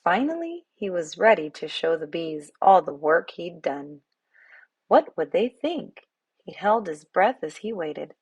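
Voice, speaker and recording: A woman's voice: on the low side, sing-song, unhurried, a little mellow, breathy edge, no echo, highs cut off. A woman reading a children's picture book aloud expressively, as a storyteller. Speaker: read-aloud host, storyteller.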